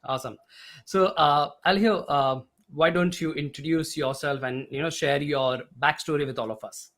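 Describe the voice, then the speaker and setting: A man's voice: a little high, moderate pace, a bit bright. Eager, admiring podcast host man. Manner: eager, admiring, slightly formal phrasing. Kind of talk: podcast interview intro.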